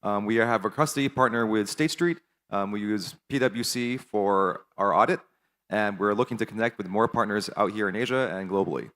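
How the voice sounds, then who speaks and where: Relaxed male panelist, lower register, easygoing intro. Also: moderate pace. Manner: casual, friendly with ums.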